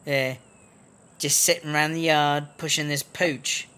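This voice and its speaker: Man voicing a slow, bright-voiced British jailhouse moonshiner woman. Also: fairly high pitch, little pitch movement, slight background noise. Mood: chatty, sly. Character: crafty foul-mouthed female prison wine brewer, chummy and wheedling. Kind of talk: comedy audio drama acting.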